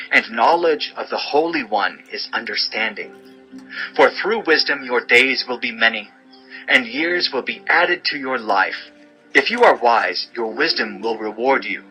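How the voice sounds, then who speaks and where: Forceful, sharp-voiced male scripture narrator. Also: medium pitch, poor audio. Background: music bed.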